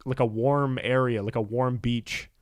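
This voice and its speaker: A man's voice: medium pitch, unhurried, smooth, no room sound. Young man, dry close-mic podcast chat. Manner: relaxed, laughs often, reads out lists with asides. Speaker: podcast co-host. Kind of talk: casual chat.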